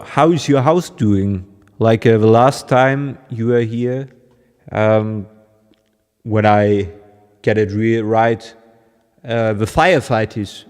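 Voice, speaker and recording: A man with a German accent chatting casually with his livestream viewers, chuckling often and pausing with lots of fillers. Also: fairly low pitch, darkish tone, in a large hall. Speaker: musician hosting a livestream. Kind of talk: casual chat with stream viewers.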